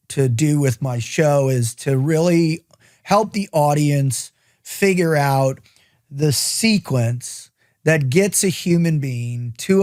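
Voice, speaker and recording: A man's voice: fairly high pitch, darkish tone. A man hosting an interview podcast, asking earnest, rambling questions. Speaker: podcast host. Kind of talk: casual interview talk.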